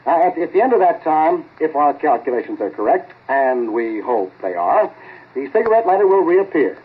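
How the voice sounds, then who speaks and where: Excitable American amateur experimenter, tinny old radio. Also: male voice, fairly high pitch, moderate pace, husky. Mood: halting, earnest. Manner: hesitant, plain-spoken, easily amazed. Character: excitable male amateur time-machine experimenter, halting and folksy. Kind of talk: 1940s radio drama acting. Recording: narrow-band vintage 1940s radio recording.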